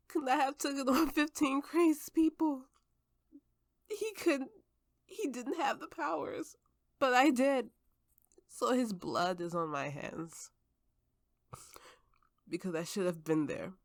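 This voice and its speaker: A woman playing a tipsy, haunted warrior telling her grim story in an ASMR roleplay, halting and dramatic, sometimes sinking toward a whisper. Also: a little high, no room sound, studio quality. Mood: anguished guilt.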